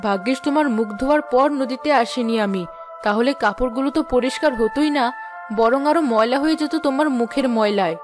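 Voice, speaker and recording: A woman's voice: a little high, quick pace, over music, close to an everyday voice. A woman playing a nagging, sarcastic village housewife in a cartoon. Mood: scornful. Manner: sarcastic, argumentative. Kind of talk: cartoon voice acting.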